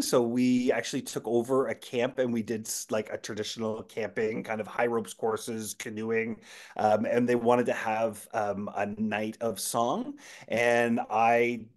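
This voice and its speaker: A man guest on a podcast, chatting casually as a team-building trainer and telling animated stories with lots of fillers and restarts. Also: medium pitch.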